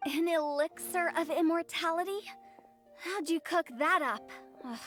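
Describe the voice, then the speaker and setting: A mischievous funeral parlor director with a high voice. She teases and sighs theatrically and always has a quick, wry comeback. Quiet game music plays behind her. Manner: teasing, theatrical, quick-witted. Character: playful, mischievous young woman who directs a funeral parlor. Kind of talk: video game voice acting.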